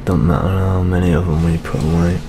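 Young guy doing a tired old british cop, asmr roleplay, deep voice, muttering, noisy ambience behind him. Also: little pitch movement. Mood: jaded, resigned. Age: young adult. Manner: slow, muttering, gloomy gallows humour. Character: weary, world-worn older British police detective, wry and jaded. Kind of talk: ASMR detective roleplay, soft-spoken monologue.

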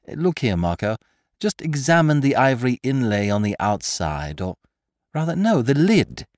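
A man voicing a mocking gentleman thief. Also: medium pitch, sing-song, no room sound, clean studio sound, voice pushed up, lightly acted. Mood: gleeful. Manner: brisk, mocking, triumphant taunting. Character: cocky gentleman thief, adult man, gleeful schemer. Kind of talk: audiobook character voice.

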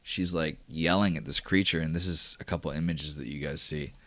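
A man's voice: very deep voice, fairly even intonation, fairly quick, pushed and bright, no echo. A man running a tabletop roleplaying game as game master, narrating vividly with frequent fillers like "like.".

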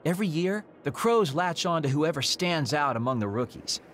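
A man's voice: fairly high pitch, expressive, normal speed, a bit bright, a little hiss or hum. A man playing a breezy, teasing soldier in an anime game, casual, flippant and playful. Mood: chatty.

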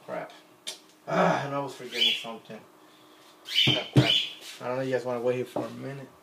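A man's voice: mid-range voice, fairly quick, creaky, very noisy. A man in his thirties with a Puerto Rican accent, chatting in a rambling, self-deprecating way while making a drink in his kitchen, filmed on a phone camera on the counter. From Puerto Rico. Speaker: vlogger. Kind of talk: casual chat while cooking. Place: home kitchen, indoors. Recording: echoey kitchen with faint ambience.

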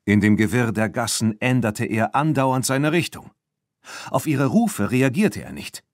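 Male children's audiobook narrator, animated and expressive, dry studio-like sound. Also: medium pitch, measured pace, no room sound. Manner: animated storytelling, acting out dialogue. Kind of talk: scripted narration of a children's story.

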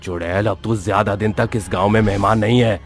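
A man's voice: lowish voice, steady tone, a little fast, breathy edge, soft background music. A man voicing a suspicious, determined village headman in a cartoon story. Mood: suspicious, resolute. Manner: brooding, determined.

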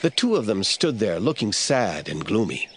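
A man's voice: on the low side, very animated, normal speed, a bit bright, slight background noise. A man narrating scripture as a scripted audio drama storyteller.

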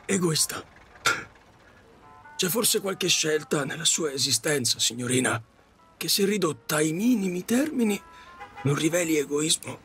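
A man performs a grieving, middle-aged taxi driver in an audio drama. His voice sits a little high for a man and halts as he confesses his regret. Music plays underneath. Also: lively intonation, a bit bright. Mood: earnest, reflective. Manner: halting, confessional, with repetitions. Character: grieving, regretful widowed male taxi driver, self-reproaching. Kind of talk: Italian audio drama monologue.

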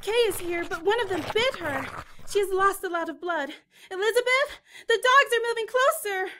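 A woman's voice: extremely high pitch, expressive, moderate pace, pushed and bright, full character voice. An actress in an audio drama playing a terrified, trapped woman crying out for rescue. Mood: panicked.

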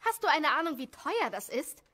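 Casting: actress as nagging village mother, high and piercing, scolding and exasperated, fan audio drama. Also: big pitch swings, normal speed, bright, forceful tone, exaggerated voice. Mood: irritated, scolding.

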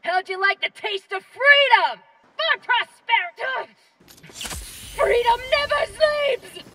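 Shrieking, gung-ho soldier. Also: female voice, extremely high pitch, expressive, slow, heavily acted. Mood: fervent, triumphant. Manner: fervent shouted battle cries. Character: zealous, gung-ho female soldier in futuristic war game. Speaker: player character voice. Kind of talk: video game combat barks. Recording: game audio under loud combat noise, distant. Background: loud game combat effects.

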